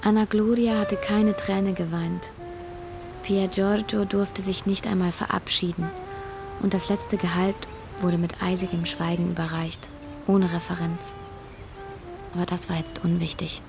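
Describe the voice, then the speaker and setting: An actress playing a gentle, sorrowful young woman who narrates a radio drama in a hushed, restrained way. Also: fairly low pitch, level tone, unhurried, creaky, music in the background. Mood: somber. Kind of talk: scripted first-person radio drama narration.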